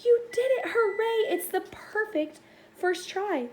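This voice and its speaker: A woman's voice: very high voice, slow, pitched above the performer's own voice. A woman voicing a spirited, encouraging old great-great-aunt in a storybook, with emphatic delivery. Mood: jubilant, encouraging. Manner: emphatic, exclamatory. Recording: faint background noise.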